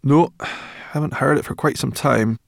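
A man's voice: mid-range voice, fairly even intonation, normal speed, vocal fry, no echo, clean studio sound, pitched above the performer's own voice, natural voice. A man voicing a brash, bossy young female fairy creature who grumbles and exclaims, in a storybook reading. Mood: brisk.

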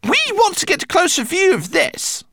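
A man reading aloud, voicing a bossy, practical female rat who flies a little plane, in a high, squeaky put-on voice. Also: sing-song, gravelly, bright, forceful tone, dry recording, studio quality, heavily acted. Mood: brisk. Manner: brisk, quick, commanding. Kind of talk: audiobook character voice.

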